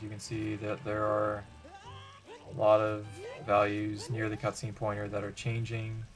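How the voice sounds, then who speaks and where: Low, flat-toned man explaining step by step. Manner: matter-of-fact, step-by-step. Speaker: video presenter demonstrating a game glitch. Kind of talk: explanatory tutorial narration over gameplay.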